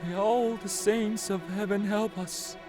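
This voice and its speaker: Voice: medium pitch, steady tone, a little slow, music in the background, natural voice. A performer playing a frightened, pious old male servant in a theatrical audio drama, lamenting and imploring. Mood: pleading, fearful.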